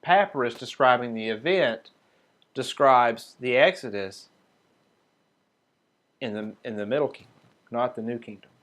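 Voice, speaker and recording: A man teaching a Sabbath group, reading passages aloud slowly and then explaining them in a loose, casual way with fillers and restarts. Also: mid-range voice, a bit bright. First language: not Hebrew. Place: small group meeting room.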